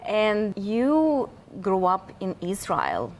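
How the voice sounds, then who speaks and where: A woman's voice: medium pitch, wildly swooping pitch, very slow, a little mellow, rough recording. A woman host interviewing a guest, asking questions in an encouraging way. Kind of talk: casual interview.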